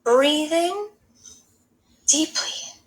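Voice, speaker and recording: A woman voicing a soothing female therapist in a storybook, with drawn-out, hushed, breathy speech. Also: high voice, expressive, exaggerated voice.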